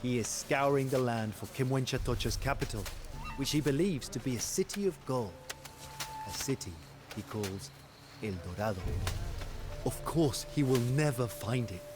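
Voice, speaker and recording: An actor playing a passionate, grave war commander and devoted lover, narrating a game cutscene in a solemn, theatrical way. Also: male voice, mid-range voice, normal speed, background noise, close to an everyday voice. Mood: grave, bitter. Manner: solemn, theatrical storytelling, intense. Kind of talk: dramatic scripted first-person game cutscene narration. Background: music.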